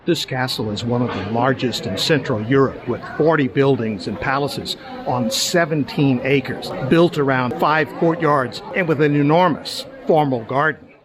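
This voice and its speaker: A middle-aged man narrates a travel vlog in an unhurried, conversational tone, stumbling now and then as he describes what he sees. He records on a handheld camera outdoors. Clear crowd chatter surrounds his voice. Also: medium pitch. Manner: relaxed, conversational, with small hesitations on the spot. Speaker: travel show host. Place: outdoors in a busy tourist town. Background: crowd of tourists.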